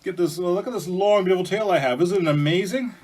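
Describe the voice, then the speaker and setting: A vain storybook bear, acted out by a male storyteller, full of pompous self-admiration. He pushes his voice up high and loud, gushing like a show-off. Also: quick pace. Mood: smug, gushing.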